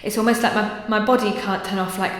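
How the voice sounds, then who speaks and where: An actress playing a desperate, frightened new mother in an audio drama, halting and repeating herself in anguish. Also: mid-range voice, steady tone, natural voice. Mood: haunted. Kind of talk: naturalistic audio drama acting. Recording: very echoey reverberant hall, music behind.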